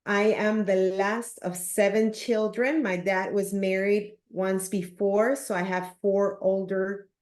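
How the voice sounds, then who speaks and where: A woman in her fifties from Peru with a Peruvian Spanish accent, speaking in a measured, earnest way as an interview guest. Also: medium pitch, little pitch movement, a little mellow. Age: 50s. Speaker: podcast guest, leadership coach. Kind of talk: reflective interview talk. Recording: roomy, echoing sound.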